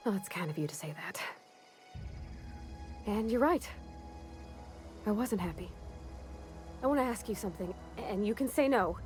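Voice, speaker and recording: A young woman acting an eager, awkward ship mechanic in a video game, with a folksy, halting, earnest manner. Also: mid-range voice, expressive, a little mellow, close to an everyday voice. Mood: hopeful, eager. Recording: music behind the voice.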